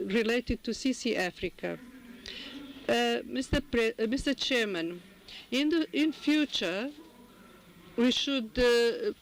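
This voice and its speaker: A man with a very high voice works as a simultaneous interpreter at a formal committee meeting. He speaks slowly, stumbling and correcting himself as he renders each phrase. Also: fairly bright tone, smooth, rough recording. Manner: halting, with frequent restarts and fillers.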